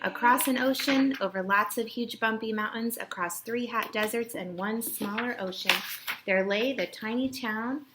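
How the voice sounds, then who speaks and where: A woman's voice: on the high side, moderate pace. Woman reading a storybook aloud, noisy recording. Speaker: reader of a children's storybook. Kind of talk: read-aloud storytelling to a young child. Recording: noisy home recording with clicks.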